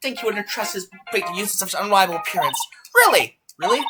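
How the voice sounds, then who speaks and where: Man as suspicious female security guard, brusque, scolding, swinging pitch, game dub, loud music behind. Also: big pitch swings, quick pace. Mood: grudging, bossy.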